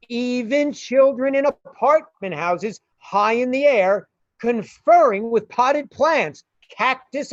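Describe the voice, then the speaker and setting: A woman narrates a story with unhurried pacing and animated intonation. The recording is dry and slightly dull. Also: fairly high pitch, dry recording.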